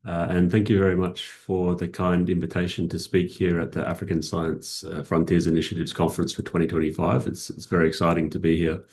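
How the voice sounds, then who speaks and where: A middle-aged Australian man with an Australian accent giving a formal conference keynote, measured and full of "uh" fillers. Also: sits low, completely flat delivery, gravelly, a little mellow. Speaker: keynote speaker, academic.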